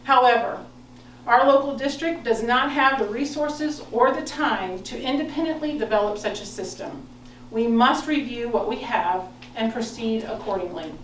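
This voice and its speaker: An American woman reading a prepared statement as a board president, in a formal, measured, firm manner. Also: on the high side. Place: indoor public board meeting. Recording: echoey meeting room, distant mic, noise.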